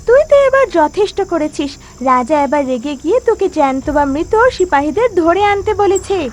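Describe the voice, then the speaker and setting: A woman voicing an excited bird in a cartoon, scolding and urgent as it warns of danger. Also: very high-pitched, normal speed, pitched above the performer's own voice. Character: excited female bird delivering a dire warning.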